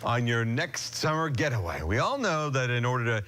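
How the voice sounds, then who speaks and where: A man's voice: mid-range voice, sing-song, normal speed, fairly bright tone. An American man working as a morning news anchor, moving between scripted news reading and casual banter.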